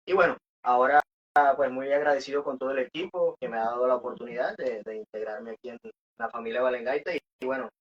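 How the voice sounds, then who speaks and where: A man's voice: medium pitch, fast. A man with a Venezuelan Maracucho accent, a band singer casually answering questions in a radio interview. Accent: Venezuelan Maracucho Spanish. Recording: dull, muffled radio audio.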